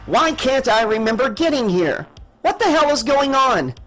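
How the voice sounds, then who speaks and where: A man's voice: very high-pitched, very animated, unhurried, fairly bright tone, soft background music, pitched above the performer's own voice, full character voice. A man voicing a demanding, profane, frantic female starship boss and mother in an audiobook story.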